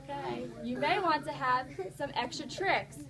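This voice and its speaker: A woman's voice: sits high, a little slow, a crowd in the background. A woman teacher reading a storybook aloud to children, encouraging and instructive, stopping to put questions to the class.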